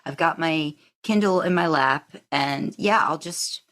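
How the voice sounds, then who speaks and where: A woman's voice: deep voice, a little slow, a bit bright. A woman hosting a podcast, chatting casually and thinking aloud in a rambling way. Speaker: podcast host. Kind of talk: casual interview chat.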